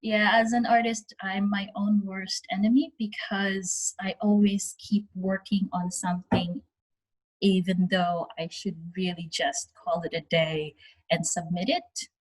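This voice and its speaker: Husky woman speaking candidly. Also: medium pitch, little pitch movement, a little slow, rough recording. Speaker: founder and artist, panellist. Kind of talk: reflective panel conversation. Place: online video call.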